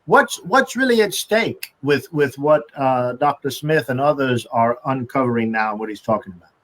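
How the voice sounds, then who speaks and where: A middle-aged man hosting a panel discussion over a video call, speaking courteously with halting restarts. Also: medium pitch, lively intonation, fairly bright tone. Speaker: show host. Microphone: video call webcam.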